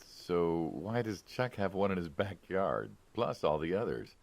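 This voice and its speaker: A man's voice: deep voice, very animated, a little slow, heavily acted. A man playing a friendly, inquisitive, wryly skeptical middle-aged American mobster in naturalistic video game dialogue. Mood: bemused, skeptical.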